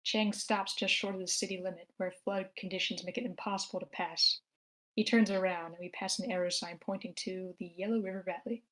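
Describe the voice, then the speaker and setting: A woman's voice: mid-range voice, steady tone, a little fast, fairly bright tone, rough recording. A woman reading stage directions as the narrator of a screenplay table read. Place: online meeting, own room.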